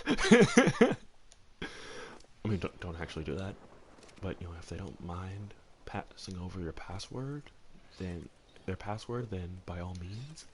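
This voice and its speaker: A man's voice: low voice, very animated, slow pace, long pauses, a little hiss or hum. A man chatting casually as host of a gaming discussion, rambling and emphatic, often repeating phrases for stress. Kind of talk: casual chat, opinionated commentary.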